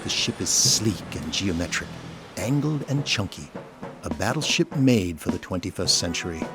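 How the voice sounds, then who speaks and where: Deep-voiced male drama narrator. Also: animated, normal speed. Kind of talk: scripted present-tense audio drama narration. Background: music.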